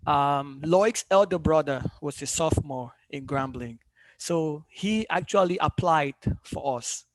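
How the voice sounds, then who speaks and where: A man from Cameroon introduces himself on an alumni panel, measured and pausing often between thoughts. His voice sits fairly high, and the sound is dry and close. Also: dry recording, rough recording. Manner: measured, pausing with many ums.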